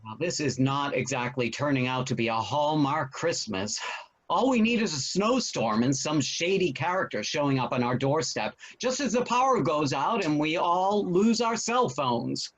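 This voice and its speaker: A man's voice: fairly high pitch, big pitch swings, moderate pace, a bit bright, full character voice, poor audio. A man playing a bemused, wry middle-aged father in a comic play read aloud. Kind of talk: table-read stage comedy acting.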